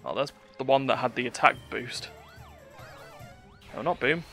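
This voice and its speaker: Bright-voiced man commentating on a game. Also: mid-range voice, a little slow. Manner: playful muttered sound words and asides. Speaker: game streamer. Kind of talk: casual gameplay commentary. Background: game music.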